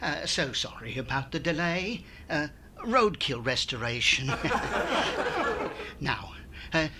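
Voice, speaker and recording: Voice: mid-range voice, very animated, slow. An actor playing a polite, dithering, eccentric taxidermist in a 1950s-style radio comedy, speaking hesitantly. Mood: apologetic, amused. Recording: loud background noise.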